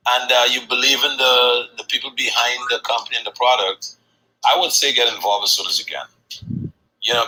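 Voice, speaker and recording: A man hosting a sales session, giving a brash motivational pitch and teasing his guests, with casual cursing. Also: bright, forceful tone, slight background noise, poor audio.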